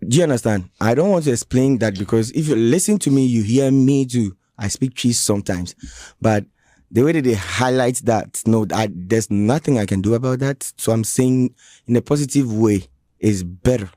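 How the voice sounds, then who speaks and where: A man's voice: mid-range voice, normal speed, dark tone, no echo, clean studio sound. A man with a Ghanaian English accent, a musician in a radio interview, answering defensively with many restarts. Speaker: musician guest. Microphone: radio studio mic.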